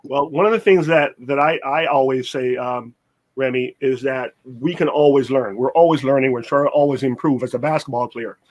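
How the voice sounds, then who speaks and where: A man's voice: mid-range voice, moderate pace, a little mellow. A middle-aged man co-hosting, giving conversational advice and repeating phrases for emphasis. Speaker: co-host, basketball mentor.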